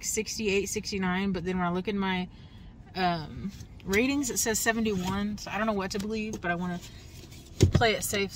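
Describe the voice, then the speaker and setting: A young woman chatting casually to her phone camera from inside a car, rambling with fillers. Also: lowish voice. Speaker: vlogger, delivery driver. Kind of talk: casual vlog chat. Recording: loud road and car noise. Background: car and outdoor ambience.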